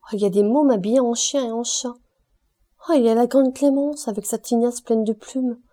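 A woman reading aloud and voicing a delirious, shouting male roofer with a hoarse voice who curses and raves. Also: medium pitch, unhurried, close to an everyday voice. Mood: frantic. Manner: raving, shouting, cursing.

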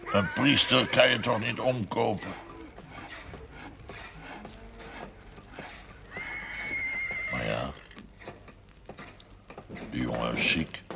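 Casting: blustering older village husband, gravelly, slow, swinging delivery, period TV drama on a noisy old soundtrack. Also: male voice, rumbling low, full character voice. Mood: indignant, grudging. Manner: blunt, halting, exclamatory. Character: gruff older village husband, stubborn, blustering, soft-hearted. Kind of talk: television period drama acting.

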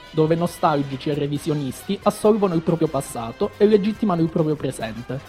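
A man with a dark, very mellow tone reads scripted radio narration. His pitch sits a little high, his delivery is measured and expository, and soft music plays behind him. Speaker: radio presenter of a history feature.

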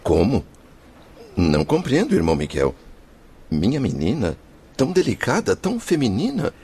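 An actor playing an anguished father and spirit apprentice in a radio drama, emotional and halting. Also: fairly low pitch, huge pitch swings, mellow, slightly rough, full character voice. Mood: distressed, incredulous.

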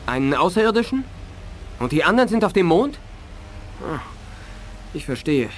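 An earnest, higher-voiced military captain. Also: male voice, sing-song, normal speed, a little hiss or hum. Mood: incredulous. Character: earnest, incredulous male military captain, deferential. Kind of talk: 1980s German science fiction radio drama.

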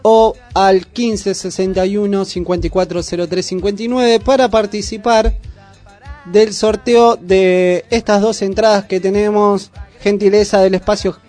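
A man's voice: high voice, steady tone, a little slow, darkish tone, soft background music. A man with an Argentine accent hosting a radio show in casual live chat. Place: radio studio.

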